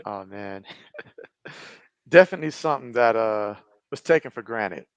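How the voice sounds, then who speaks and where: A man's voice: fairly low pitch, expressive, a little slow, no room sound. A man in his thirties hosting a sports podcast, talking in an easygoing, enthusiastic way. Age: 30s. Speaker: podcast host. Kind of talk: casual sports chat.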